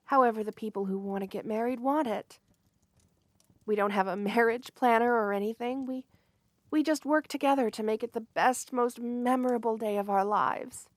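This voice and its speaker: A woman playing a shy, sweet, lovestruck young woman in an intimate ASMR romance roleplay, gentle and flustered, often hesitating mid-phrase. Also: fairly high pitch, normal speed, no echo, natural voice. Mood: happy, reassuring.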